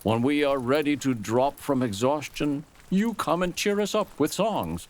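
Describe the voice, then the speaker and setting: A man's voice: a little high, lively intonation, a little slow, a little mellow. A man voicing a weary, grateful foreign missionary captive in an audio story, calling out affectionately.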